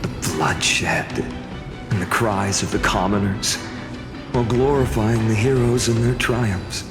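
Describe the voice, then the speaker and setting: A man playing a grave, cynical figure in a fantasy video game, speaking in a solemn, deliberate and formal way. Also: lowish voice, music in the background, lightly acted. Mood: scornful, solemn.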